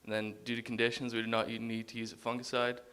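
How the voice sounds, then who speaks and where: A young man from Saskatchewan, presenting a class talk in a steady, list-like way. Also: mid-range voice, fast talker, clean tone. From Saskatchewan, Canada. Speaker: college student presenter.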